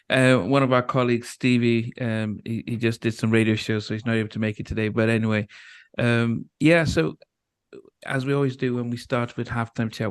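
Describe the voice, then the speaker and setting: Halting man hosting a casual podcast. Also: mid-range voice, smooth. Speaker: podcast host. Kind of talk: casual interview chat.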